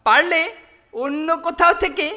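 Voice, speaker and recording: A man's voice: very high-pitched, moderate pace, sharp tone, heavily acted. A man voicing a greedy, sly boy bully who sneers and gloats. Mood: scheming.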